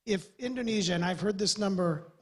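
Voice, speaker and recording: Husky American official on a panel. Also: male voice, sits high, brisk. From USA. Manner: earnest, rambling with restarts. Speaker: government development official. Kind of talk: panel discussion remarks.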